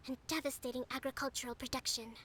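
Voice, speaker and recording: A woman voicing an earnest, dignified young priestess leader in an anime game, speaking formally and with measured words. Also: sits high, level tone, a bit bright, slight background noise. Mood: grave.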